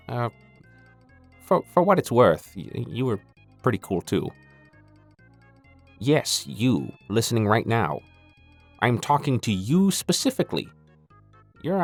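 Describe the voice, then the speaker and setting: A man's voice: on the high side, full character voice. A man playing a cocky, goofy intruder who has hijacked an audio show, stammering and rambling irreverently as he addresses the listener. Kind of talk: comedic monologue addressed to the listener.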